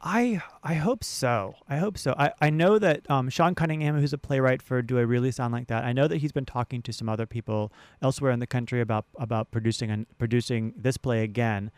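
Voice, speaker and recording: An American man, a theater director, answering as a radio guest in a measured, conversational way, often restating his phrases. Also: mid-range voice, dry recording. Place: radio station studio. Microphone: close studio radio microphone.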